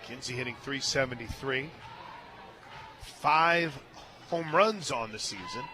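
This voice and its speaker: A man calling softball live on radio as play-by-play announcer, mixing clipped pitch calls with conversational asides. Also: very animated, measured pace. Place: radio booth at an outdoor softball stadium. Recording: live stadium booth, clear crowd noise. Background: stadium crowd.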